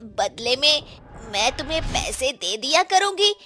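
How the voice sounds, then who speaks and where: A woman playing a remorseful rich witch mother in a cartoon story, lamenting in a plaintive, dramatic, drawn-out way. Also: high-pitched, big pitch swings, pushed and bright, faint music behind, exaggerated voice. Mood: earnest pleading. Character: remorseful rich witch mother, once arrogant, now tearfully pleading. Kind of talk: Hindi animated moral story acting.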